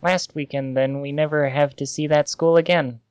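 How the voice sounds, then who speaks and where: A man's voice: on the high side, fairly even intonation, moderate pace, a little mellow, clear, resonant tone, no echo, close to an everyday voice. A man playing a mild, lovestruck teenage schoolboy in an amateur fan fiction read. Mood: mild, offhand.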